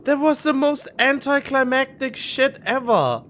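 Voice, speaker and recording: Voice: high voice, animated, measured pace, a bit bright, slight background noise. A game streamer giving casual, incredulous livestream commentary, grumbling and swearing.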